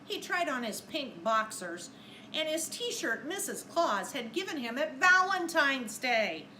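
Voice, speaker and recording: Animated, high-voiced woman reading to young children. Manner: animated, deliberate, simple questions and prompts for young listeners. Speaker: teacher reading a storybook aloud to children. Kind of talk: expressive storybook read-aloud with asides to young children. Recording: echoey room with faint background noise.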